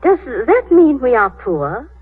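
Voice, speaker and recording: A steadfast, comforting wife in vintage radio drama. Her voice swings expressively and has a husky grain. The sound is muffled and very narrow, like an old broadcast. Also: high voice, big pitch swings, slow pace, no echo, faint music behind, exaggerated voice. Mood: concerned, tender. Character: devoted, tender, steadfast wife of a statesman. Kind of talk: 1930s radio drama acting.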